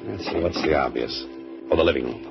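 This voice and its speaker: A man's voice: a bass voice, a little fast, vocal fry, music in the background. An actor playing a cool, dry-witted male mystery writer who sleuths, in 1940s radio drama style. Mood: wry. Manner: dry, wisecracking. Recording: muffled 1940s radio broadcast.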